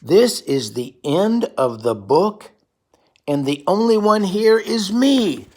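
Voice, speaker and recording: A nervous, pleading furry monster from a picture book, put on by a parent reading aloud. The voice jumps high and swoops around, drawing each word out slowly with a breathy edge. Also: big pitch swings, darkish tone. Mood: sheepish. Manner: slow, drawn-out pleading.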